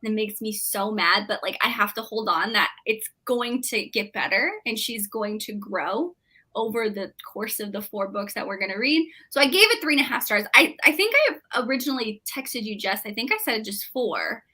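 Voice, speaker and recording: A woman's voice: mid-range voice, animated, moderate pace, sharp tone, breathy edge. A woman in a casual book chat, talking emphatically and acting out her reactions as a panelist.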